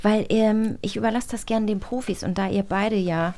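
A woman's voice: mid-range voice, fairly even intonation, moderate pace, dark tone. A woman co-hosting a podcast in casual conversation. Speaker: podcast co-host. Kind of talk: casual chat.

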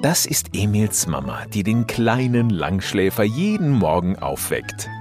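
A man's voice: on the low side, very animated, unhurried, a little mellow, faint music behind. A man narrating a children's story expressively, full of wonder and speaking directly to young listeners.

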